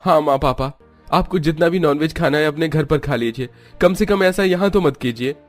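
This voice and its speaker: A man's voice: a little high, normal speed, faint music behind, lightly acted. A man voicing a protective young husband in a cartoon family drama. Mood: firm, pleading. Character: young husband, protective of his vegetarian wife.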